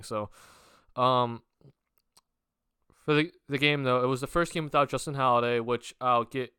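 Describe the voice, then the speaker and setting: A man's voice: medium pitch, a bit bright, clean tone, no echo, studio quality. Young male sports vlogger, sick with a cold, rambling and filler-heavy, clean resonant tone. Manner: rambling with many fillers and restarts. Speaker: basketball vlogger. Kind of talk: casual sports talk to camera.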